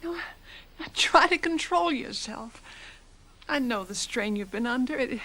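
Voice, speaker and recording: An actress playing a worried wife in a radio drama, calming and coaxing. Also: female voice, on the high side, measured pace, heavily acted, poor audio. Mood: soothing. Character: soothing worried wife, adult woman. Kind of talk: 1990s radio drama acting.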